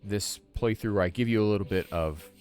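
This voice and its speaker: A man's voice: lowish voice, brisk, a little mellow, clear, resonant tone. A man in his thirties giving casual playthrough commentary as a channel host, thinking aloud as he plays. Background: game music.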